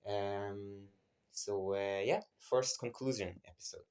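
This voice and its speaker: A man's voice: lowish voice, slow, darkish tone, clean tone. A man in his twenties with a Hebrew accent, speaking English as a second language in a reflective personal vlog, hesitant and searching for words. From Israel. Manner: hesitant, many fillers and restarts, searching for words. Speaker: vlogger.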